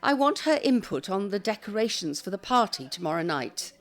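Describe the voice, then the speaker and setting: A fussy older British busybody played by a woman, with a very lively, swooping delivery. She is brisk and bossy in an audio comedy drama, at a medium pitch for a woman. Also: lightly acted. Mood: fussy, exasperated. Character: bossy, fussy, chirpy elderly woman care-home resident.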